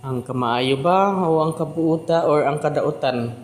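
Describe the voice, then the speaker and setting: A man's voice: a little high, unhurried. A man with a Visayan Philippine accent chatting casually as a host giving his commentary. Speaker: host commenting on audio drama. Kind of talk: casual chat. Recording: echoey, reverberant room.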